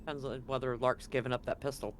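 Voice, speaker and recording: A man's voice: mid-range voice, flat delivery, fast, slight background noise. A man acting as game master, casually narrating a tabletop roleplaying game with bantering, rambling asides.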